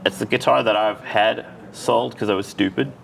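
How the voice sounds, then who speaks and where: An upbeat South African man conducts a casual interview and laughs easily. His voice sits on the lower side, with a gravelly fry running through it. Faint background noise hangs behind him. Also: fairly even intonation. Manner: enthusiastic, quick to laugh. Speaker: interviewer for a music store.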